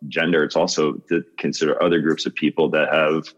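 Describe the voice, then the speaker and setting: A man's voice: a bass voice, flat delivery, fairly quick, muffled recording. A man in his fifties, a podcast guest talking earnestly in casual conversation, laughing often and restarting his sentences. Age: 50s.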